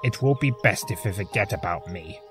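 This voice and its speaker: A man's voice: sits low, expressive, fairly quick, fairly bright tone, faint music behind. A man playing a bitter, self-loathing creature of chaos in an audio drama, theatrical and volatile. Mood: cold, resigned. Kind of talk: fan audio drama acting.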